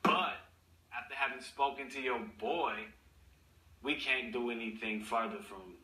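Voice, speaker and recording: A man testifying as defendant in a TV courtroom, animated and addressing the judge often. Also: medium pitch, measured pace, far from the mic, slight background noise. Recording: echoey courtroom, off-mic.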